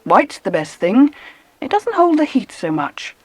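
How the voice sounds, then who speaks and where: A woman playing a firm, cheerful mother in a children's audiobook drama, with a brisk, instructing manner. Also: a little high, huge pitch swings, dry recording, exaggerated voice.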